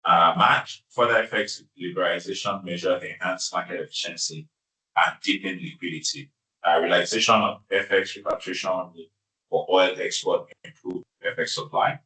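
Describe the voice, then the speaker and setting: A man, speaking as an executive presenting financial results in a formal, halting, measured way. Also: low-pitched, steady tone, pushed and bright. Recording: distant, echoey conference call audio.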